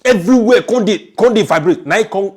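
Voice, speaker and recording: A man's voice: high-pitched, fairly quick. A man with a Nigerian accent giving informal, emphatic storytelling commentary, often repeating his phrases. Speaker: online news commentator.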